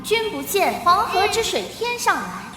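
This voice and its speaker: Casting: very high, swooping girlish maiden, earnest and outspoken, for animated costume drama. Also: normal speed, roomy sound, long reverb tail. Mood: brazen, playful. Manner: girlish, highly expressive. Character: girlish, earnest, outspoken young woman of ancient China. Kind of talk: animated period drama acting.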